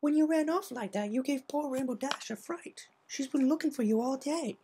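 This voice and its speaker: Refined female unicorn played by a woman, storybook character, high lifted pitch, polite, composed, caring, measured phrasing. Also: warm tone, a little hiss or hum. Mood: soothing, caring.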